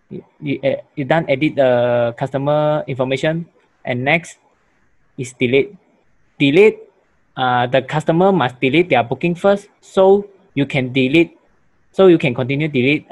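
A young man, a student presenter, giving a software demo with frequent restarts, fillers and broken phrases. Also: medium pitch. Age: young adult. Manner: stumbling, many restarts and fillers.

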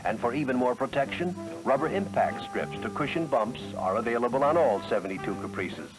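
A man's voice: mid-range voice, expressive, fairly quick, music in the background, rough recording. An American man reading scripted 1970s promotional narration with a polished, emphatic announcer delivery, on a vintage film soundtrack.

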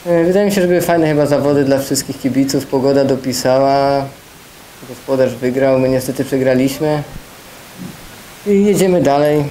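A young man, a speedway rider, speaking calmly and matter-of-factly at a post-match press conference. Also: medium pitch, normal speed, slight background noise, poor audio. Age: young adult. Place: press room, indoors. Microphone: table microphones.

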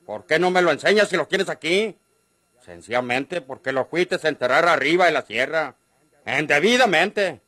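Dry-sounding wheedling Mexican country schemer. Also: male voice, on the high side, expressive, moderate pace, sharp tone, no echo. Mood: accusing. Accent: northern Mexican. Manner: coaxing, folksy. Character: wheedling, insistent rustic schemer after treasure. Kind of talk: vintage Mexican radio drama acting.

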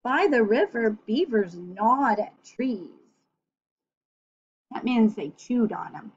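A woman reads a picture book to young listeners at a very unhurried pace, in a fairly high voice. She is gentle and expressive, breaking off for chatty asides. The webcam recording is a little dull and the voice is somewhat husky. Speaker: storyteller reading a picture book. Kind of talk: read-aloud story time with chatty asides for children. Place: small room at home. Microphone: computer webcam at arm's length.

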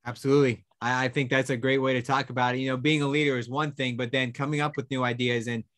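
Young man, show host, mid pitch, casual Q&A delivery, dry studio sound. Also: little pitch movement, fast talker, a bit bright, dry recording. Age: young adult. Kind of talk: casual hosting of a student Q&A. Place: small indoor studio office.

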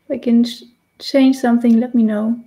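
German-accented woman in her thirties, warm and slightly high, relaxed chatty live-stream teacher. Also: moderate pace. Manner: chatty, laughs often, restarts while painting. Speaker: face painting tutor. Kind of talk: casual live-stream tutorial. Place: small indoor room with decorated wall.

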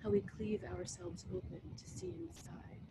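A middle-aged American woman poet giving a reading of her work, with measured, deliberate phrasing. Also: medium pitch, very animated. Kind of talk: poetry reading with casual introductions. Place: book-lined wood-panelled room at home, indoors. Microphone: computer mic on video call. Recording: very noisy video-call audio.

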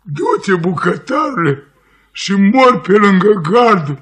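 Casting: old discarded cook, high voice, ranting, plaintive, proud drunkard, vintage radio drama. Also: male voice, very animated, fairly bright tone. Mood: boastful, aggrieved. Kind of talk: Romanian radio theatre acting. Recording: 1950s radio theatre recording.

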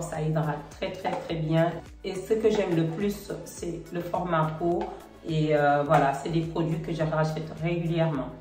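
A middle-aged woman with a cold and a slightly congested voice, chatting casually to camera about products with a relaxed, hesitant manner. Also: deep voice. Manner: relaxed, hesitant, many fillers. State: has a cold. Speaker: beauty vlogger. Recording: roomy, slightly distant, faint music.

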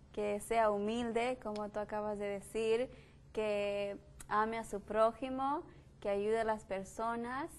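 A young woman from El Salvador on a studio panel, speaking calmly and earnestly. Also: medium pitch. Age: young adult. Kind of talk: casual discussion. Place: TV studio set.

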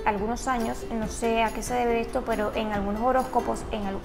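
A woman's voice: on the high side, steady tone, fairly quick, creaky, over music. A woman in her late twenties from Cuba with a Cuban Spanish accent, chatting to camera as she answers viewer questions one by one in a casual vlog. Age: 20s. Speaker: YouTuber. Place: indoors, large room with tables.

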